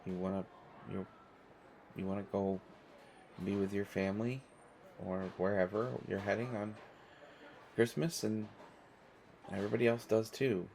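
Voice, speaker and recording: A man's voice: on the low side, little pitch movement, a little slow, pauses often, a little mellow, slight background noise, poor audio. A man playing a stressed, self-deprecating airport stranger in a conversational audio roleplay, talking in hesitant, rambling stammers. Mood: hesitant, wry.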